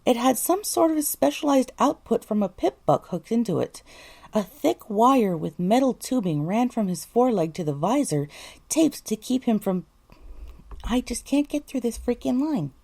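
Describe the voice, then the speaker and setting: High, mellow-voiced woman narrating. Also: sing-song, normal speed, gravelly. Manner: self-correcting, mildly exasperated at flubs. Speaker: voice actor, narrator. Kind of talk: scripted first-person story narration with retakes.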